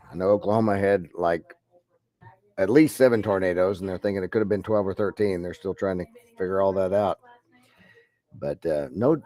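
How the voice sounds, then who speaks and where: A man's voice: deep voice, a little mellow. A middle-aged man with a head cold teaching an online math class, rambling with many fillers and restarts. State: head cold, deep congested voice.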